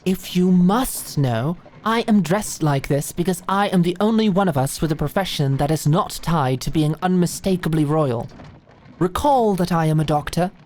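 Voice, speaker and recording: A woman's voice: on the low side, moderate pace, a little mellow, slight background noise, close to an everyday voice. A woman voicing a pompous, prickly aristocratic doctor in a medieval audio drama, with formal, precise speech. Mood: haughty, defensive. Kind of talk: medieval fantasy audio roleplay acting.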